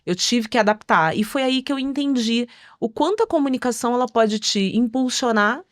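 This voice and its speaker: A middle-aged Brazilian woman telling stories conversationally as an interview guest, articulate and expressive, acting out quotes as she goes. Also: a little high, moderate pace, no echo, studio quality. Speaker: podcast guest.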